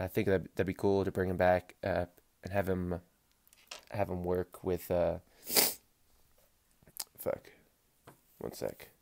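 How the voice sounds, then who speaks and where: Host, male, deep voice, nasal and stuffed up, loose rambling delivery with dry humor. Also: a little mellow. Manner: rambling, loses his thread and corrects himself mid-sentence. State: stuffy nose from allergies. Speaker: podcast host. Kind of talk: casual solo sports podcast.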